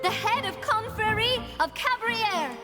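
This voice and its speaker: A woman's voice: very high-pitched, lively intonation, measured pace. A woman voicing a feisty young woman in a fantasy video game, theatrical and emphatic. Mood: defiant. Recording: reverberant hall with game music.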